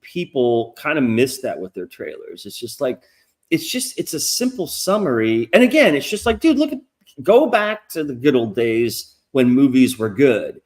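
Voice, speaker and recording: A middle-aged man with a nasal voice hosting a casual livestream interview, rambling and swearing freely as he gets animated. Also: mid-range voice, moderate pace. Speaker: podcast host.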